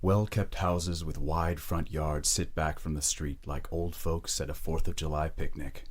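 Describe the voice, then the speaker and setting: Very deep-voiced male audiobook narrator. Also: fairly even intonation. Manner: measured, deliberate delivery in short phrases. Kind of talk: dramatic scripted narration of a dark romance.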